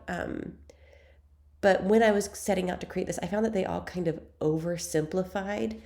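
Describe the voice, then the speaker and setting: A young woman hosts an editing tutorial, her voice raspy and stuffed up from a cold. She speaks in a low, dark tone with a gravelly edge. Her delivery is chatty and self-deprecating, with plenty of ums between steps. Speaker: photography tutorial host. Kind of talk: screen-recorded software tutorial.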